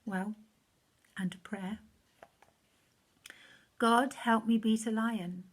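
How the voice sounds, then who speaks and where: A woman voicing a brave, devout young shepherd boy from a children's rhyming story, in a measured, earnest, rhythmic way. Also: fairly high pitch, steady tone, breathy edge, exaggerated voice. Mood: earnest, resolute.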